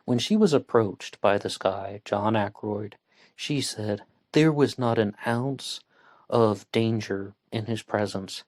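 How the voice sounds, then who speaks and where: Male true crime podcast host, lowish voice, earnest, measured, talks through the case with small pauses. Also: a little mellow. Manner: earnest, measured, with frequent fillers.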